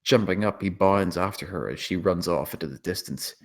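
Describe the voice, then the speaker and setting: A man with a low voice narrates a screenplay. He reads the action and scene descriptions as dramatic scripted storytelling. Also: a little fast. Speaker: narrator reading stage directions.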